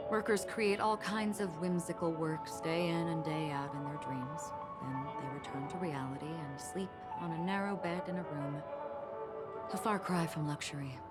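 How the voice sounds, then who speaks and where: A woman plays a solemn wandering swordswoman from a video game. Her voice sits low and she speaks with grave, measured reflection, understated and calm, over loud music. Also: natural voice.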